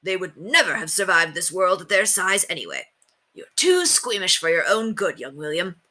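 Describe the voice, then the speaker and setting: A woman's voice: lowish voice, big pitch swings, moderate pace, pushed and bright, exaggerated voice. A woman voicing a proud, confident tiny knight who speaks in formal, pompous declarations. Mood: brisk, admonishing.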